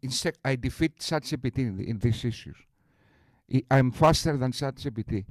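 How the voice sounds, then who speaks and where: Man, boastful rambler, restarts a lot, mid pitch, dry sound. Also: normal speed, no room sound. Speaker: streamer host. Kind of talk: casual live-stream talk.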